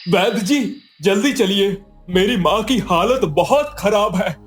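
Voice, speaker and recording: A man's voice: sits high, expressive, slow, faint music behind. A man playing a poor, kind-hearted young villager in a cartoon moral story, earnest and pleading. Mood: worried, urgent.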